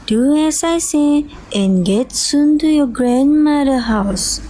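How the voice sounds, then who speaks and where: Woman as a high, slow cautioning mother. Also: dark tone. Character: caring, cautioning mother of a young girl.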